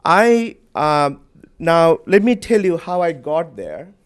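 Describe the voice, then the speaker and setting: Middle-aged guy from bangladesh at a podium mic, kinda high voice, lots of ums. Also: sing-song, clean tone. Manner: unscripted, halting with fillers, joking. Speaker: panelist, center director. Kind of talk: conference panel talk. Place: lecture hall.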